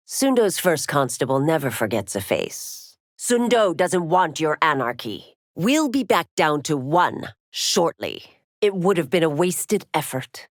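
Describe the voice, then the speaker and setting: A woman's voice: low voice, no room sound, studio quality. An actress playing a stern, dutiful warrior woman and law officer in a fighting game, speaking in formal, commanding, clipped declarations. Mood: stern, authoritative. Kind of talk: fighting game character voice lines.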